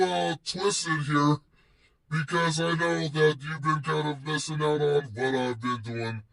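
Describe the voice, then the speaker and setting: A man hosting his own web series, talking casually to fans in slangy, boastful, drawn-out emphatic phrases, with a gravelly, husky voice. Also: mid-range voice, distant mic, low-quality recording. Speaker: host of a personal web series. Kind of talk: casual solo rant to fans.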